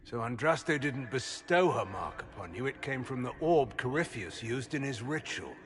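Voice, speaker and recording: A man's voice: mid-range voice, animated, normal speed, fairly bright tone, close to an everyday voice. A man acting a stern, practical warrior companion in a fantasy video game, speaking firmly and matter-of-factly. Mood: reflective.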